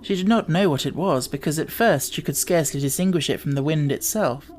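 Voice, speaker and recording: A man's voice: fairly high pitch, fairly quick, a little mellow, slight background noise. A man reading a children's novel aloud as scripted audiobook narration.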